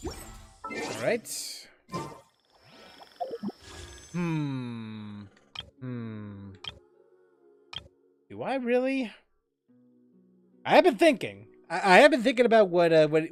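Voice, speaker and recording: A man casually chatting while streaming a game, rambling with lots of fillers and restarts as he reads chat aloud. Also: on the high side.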